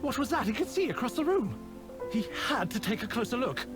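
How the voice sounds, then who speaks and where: A man playing a solemn, wry storybook narrator in a mystery game, with a measured, theatrical, literary delivery. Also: very high voice, very animated. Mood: solemn, suspenseful. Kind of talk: scripted dramatic game narration. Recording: loud music under the voice.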